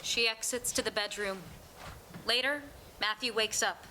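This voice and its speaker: A woman's voice: a little high, steady tone, measured pace, bright, forceful tone, slight background noise, low-quality recording. A woman reading stage directions as scripted narration for a staged play reading.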